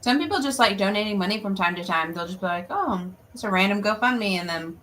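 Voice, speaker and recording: A woman's voice: mid-range voice, expressive, fast, fairly bright tone, slight background noise. A woman co-hosting a show, chatting casually with the guest and her colleagues. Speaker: show co-host. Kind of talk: casual chat.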